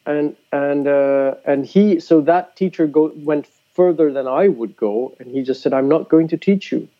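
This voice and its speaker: A man's voice: on the high side, moderate pace, dull audio. A man in casual conversation about music, a teacher who often restarts and repeats words as he thinks aloud.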